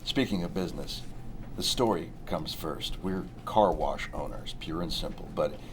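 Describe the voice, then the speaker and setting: A man playing a guarded, persuasive car wash owner at a screenplay table read, with a halting, coaxing delivery. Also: extremely low pitch. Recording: clearly noisy room recording.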